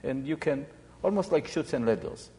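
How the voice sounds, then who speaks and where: A man in his seventies from Israel, explaining informally to an audience in a halting, reflective way. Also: a little high, lively intonation, crowd noise. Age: 70s. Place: large echoey room or hall. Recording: reverberant hall sound.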